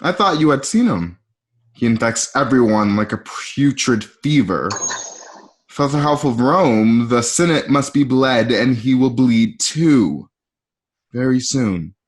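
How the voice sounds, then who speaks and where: A man acting a menacing, possessive young Roman emperor in an amateur radio drama, with a deliberate, theatrical delivery. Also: medium pitch, animated. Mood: cold menace.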